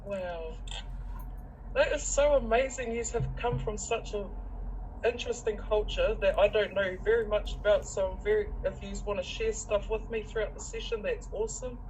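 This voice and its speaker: A woman's voice: mid-range voice, moderate pace, a little mellow, slight background noise, low-quality recording. A woman with a New Zealand accent leading a weaving session, talking in a chatty, encouraging way with many ums. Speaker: weaving facilitator and social worker. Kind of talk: friendly instruction in a group session.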